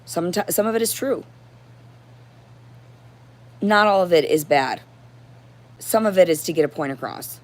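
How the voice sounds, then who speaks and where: A woman with a hoarse voice giving a rambling, unscripted livestream monologue in a confrontational, profane and disjointed manner. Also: on the low side, lively intonation, moderate pace, long pauses. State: hoarse throat. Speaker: livestream host.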